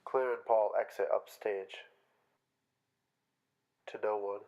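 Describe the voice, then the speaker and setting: A man with a low-pitched voice narrates a staged play reading. He reads out the stage directions as scripted narration. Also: steady tone, slow pace, a little mellow, dull audio.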